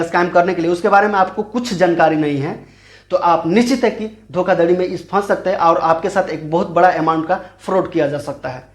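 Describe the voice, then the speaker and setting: A man hosts an explanatory awareness video. He speaks informally, often restating his points in other words as he goes. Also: high-pitched. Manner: informal, repetitive, rephrases often. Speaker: YouTube channel host. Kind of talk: explanatory scam-awareness tutorial.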